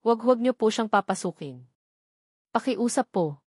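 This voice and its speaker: A woman's voice: a little high, expressive, moderate pace, darkish tone, breathy edge, no echo, studio quality. A woman reading the lines of a frightened young maid who pleads with a trembling voice.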